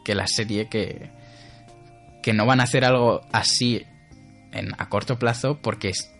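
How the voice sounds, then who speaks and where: A man's voice: on the low side, steady tone, unhurried, vocal fry, a bit bright, soft background music. A young man, co-hosting a casual podcast chat, rambling with many restarts. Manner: rambling, with frequent restarts and laughter. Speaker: podcast co-host.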